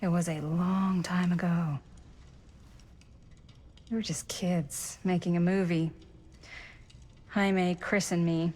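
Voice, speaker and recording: An actress playing a frank, reflective middle-aged American woman in a video game cutscene, speaking in a measured, plain-spoken way. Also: lowish voice, little pitch movement, darkish tone. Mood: nostalgic, awed. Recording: music under the dialogue. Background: background music.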